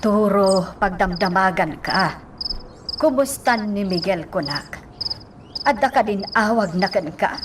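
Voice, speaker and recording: A woman's voice: mid-range voice, unhurried, far from the mic, very noisy, lightly acted. A woman with an Ilocano accent acting a worried, plaintive mother in a radio drama.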